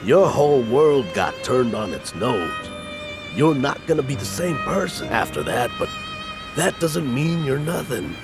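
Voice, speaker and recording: A man acting in an audio drama as a gentle, wise older family friend with a measured, folksy manner. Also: medium pitch, sing-song, over loud music. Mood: gently consoling.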